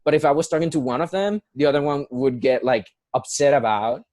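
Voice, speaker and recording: A man's voice: a little high, a little fast, a little mellow, dry recording, clean studio sound. A young man from Puerto Rico with a Puerto Rican Spanish accent, a musical theater performer talking earnestly as an interview guest, with many fillers and restarts. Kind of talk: casual conversational interview.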